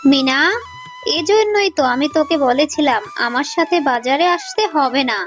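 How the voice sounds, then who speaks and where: A woman voicing a poor, gentle, weary fox mother in a cartoon, earnest and softly chiding. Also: sits high, animated, moderate pace, soft background music. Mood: weary, reluctant. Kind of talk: Bengali cartoon voice acting.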